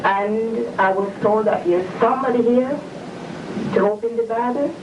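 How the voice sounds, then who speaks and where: A woman's voice: on the high side, moderate pace. Fervent woman, distant echo. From England. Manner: firm, fervent, preachy. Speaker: interviewee, church member. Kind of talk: earnest testimony on video. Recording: dull, echoey room from far off, noisy.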